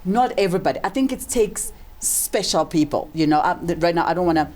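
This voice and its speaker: Deep-voiced woman host. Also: lively intonation, fast talker, darkish tone. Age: middle-aged. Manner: rambling, many restarts and repeated words. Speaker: talk show host. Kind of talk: casual talk show conversation.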